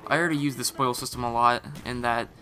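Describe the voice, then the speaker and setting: A man's voice: medium pitch, fairly even intonation, quick pace. Guy with a sore scratchy throat rambling thru his game commentary, um-ing a lot, guns going off behind. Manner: rambling, with many fillers and restarts. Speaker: gaming commentator. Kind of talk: casual voice-over commentary over gameplay. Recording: voice-over mixed over loud game gunfire. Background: game gunfire and explosions.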